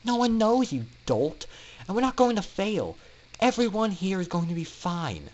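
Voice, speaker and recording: A brash teenage girl pony from a story, put on by a male reader. The voice is pitched well up, confident and teasing, with punchy, emphatic delivery. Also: big pitch swings, normal speed, darkish tone, highs cut off. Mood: cocky, teasing.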